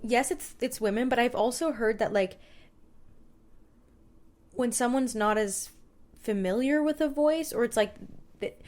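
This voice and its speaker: A woman comedian co-hosting a podcast in casual chat, with a very high, light voice and an earnest, rambling way of talking, full of "like". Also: unhurried, long pauses, darkish tone. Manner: earnest, rambling, lots of filler "like".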